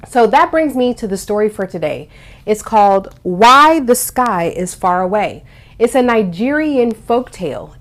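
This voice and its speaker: A woman hosting a children's storytime, explaining and reading aloud expressively, stressing and stretching key words for young listeners. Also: medium pitch. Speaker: host of a children's storytime show.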